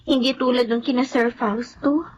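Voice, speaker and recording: An actress playing a caring, respectful young student daughter in a Filipino radio drama, polite and earnest. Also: female voice, a little high, fairly even intonation, moderate pace, muffled recording, a little hiss or hum, lightly acted. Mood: uneasy.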